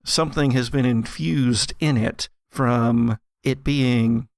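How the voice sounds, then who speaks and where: A man narrates as a game master with a slow, measured delivery. His voice has a gravelly creak and a dramatic storyteller's shape. The sound is dry and close. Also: mid-range voice, steady tone, no echo. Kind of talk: narrating an actual-play audio drama, storytelling.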